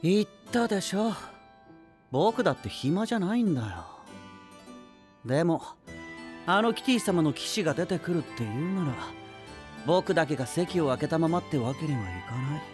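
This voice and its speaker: A man's voice: on the high side, expressive, moderate pace, darkish tone, soft background music, close to an everyday voice. Man acting boyish, cocky, easygoing young knight. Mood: cocky, relaxed. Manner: casual, blunt, self-assured boyish speech. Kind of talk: anime voice drama acting.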